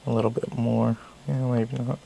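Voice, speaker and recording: Gravelly man reviewing a knife. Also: medium pitch, flat delivery, normal speed, mellow, a little hiss or hum, rough recording. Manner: rambling, self-correcting explanations. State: a little sick, losing his voice. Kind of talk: casual product review.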